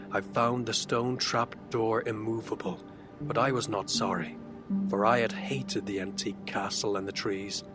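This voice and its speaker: A man's voice: lowish voice, lightly acted. A man playing a tormented, reclusive outcast in a horror audio drama, narrating in an ornate, theatrical and intense manner. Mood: bleak, resigned. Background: dark fantasy ambience music.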